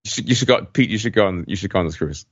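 Deep-voiced man on a roomy, dull mic. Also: lively intonation, rapid-fire, slightly rough. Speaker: podcast regular. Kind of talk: casual chat, anecdote telling.

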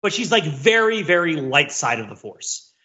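A man's voice: on the high side, very animated, moderate pace, bright, forceful tone, muffled recording. A man hosting a casual pop-culture discussion podcast, talking emphatically and repeating phrases for effect. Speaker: podcast host.

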